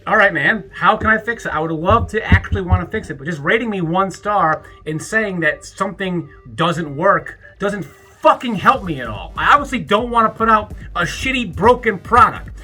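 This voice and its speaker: Bright-voiced man in his thirties rambling. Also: high voice, expressive, slightly rough. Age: 30s. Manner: rambling, halting, with many restarts; rises into an angry, profane rant. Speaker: YouTuber. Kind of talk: reflective birthday vlog monologue.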